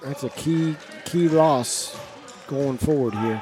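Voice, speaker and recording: A man's voice: fairly high pitch, slow, music in the background. A man giving conversational, analytical color commentary on a basketball game.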